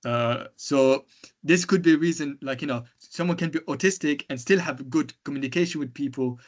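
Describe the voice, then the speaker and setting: A man giving a conference talk in a loud voice, hesitating often with fillers. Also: fairly high pitch, normal speed. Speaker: conference speaker. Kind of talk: presentation talk.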